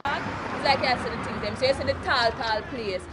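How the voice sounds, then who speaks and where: A woman's voice: fairly high pitch, normal speed, background noise. A woman with a Jamaican accent talking animatedly about scripture. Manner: animated, excited. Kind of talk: interview comments.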